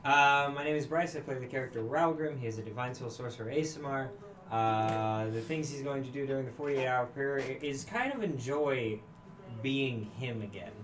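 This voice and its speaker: A man's voice: medium pitch, normal speed, noisy background. A man playing a tabletop game, chatting in an easygoing, rambling way. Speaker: player. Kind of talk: casual tabletop chat.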